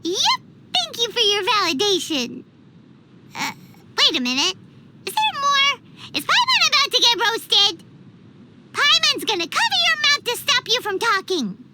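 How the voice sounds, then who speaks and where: A tiny, cutesy floating sidekick from a video game, extremely high and squeaky like a small child. The delivery is excitable, easily miffed and bouncy in pitch, over loud background noise. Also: female voice, unhurried, heavily acted. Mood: indignant, flustered. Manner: cutesy, refers to self in third person.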